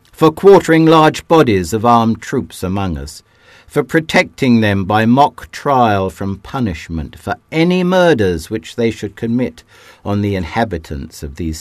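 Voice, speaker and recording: A man giving a measured, declamatory reading of a historic document, dropping low at the ends of phrases. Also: dry recording. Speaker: reader.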